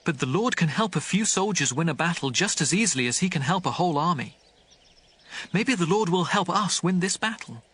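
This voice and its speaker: A man playing a bold, hopeful young warrior prince with a husky voice, earnest and determined, in a dramatized audio Bible. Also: a little high, lively intonation, fairly quick, fairly bright tone. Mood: hopeful resolve.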